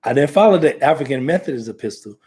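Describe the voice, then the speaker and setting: An American man hosts a talk show in a loose, rambling way, circling back and restarting his thoughts. His voice is mid-pitched and ordinary. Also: expressive, fast, a little mellow. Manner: rambling, conversational, many fillers and restarts. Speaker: talk show host, ordained minister. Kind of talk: casual talk show chat.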